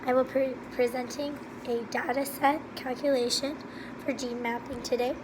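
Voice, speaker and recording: Hoarse woman explaining calculations. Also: sits high, normal speed, noisy background. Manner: steady, methodical, step-by-step. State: hoarse from laryngitis. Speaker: student presenter. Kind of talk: recorded slide walkthrough.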